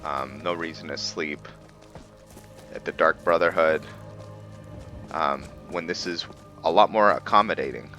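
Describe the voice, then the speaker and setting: Slow, deep-voiced man gaming. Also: over music. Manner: rambling, thinking aloud with many ums. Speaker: gaming video creator. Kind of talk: casual let's-play commentary.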